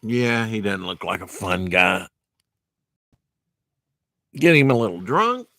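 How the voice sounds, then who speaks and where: A man's voice: on the low side, sing-song, slow, creaky, fairly bright tone, dry recording. A man hosting a late-night storytime, reading a storybook aloud and breaking off into rambling, sarcastic asides. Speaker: storytime host.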